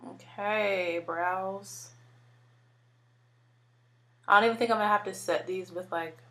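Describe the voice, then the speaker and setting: A woman in her twenties with a deeper voice, chatting casually while doing her makeup and using lots of filler words. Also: steady tone, takes it slowly, a bit bright. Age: 20s. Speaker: beauty vlogger. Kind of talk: casual makeup tutorial chat.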